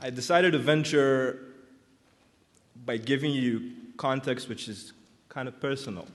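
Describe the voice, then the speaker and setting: A man's voice: mid-range voice, a little slow. Man, 30s, earnest, reflective, conference talk, echoing hall. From Israel. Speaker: clinical psychologist, conference speaker. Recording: long hall reverb.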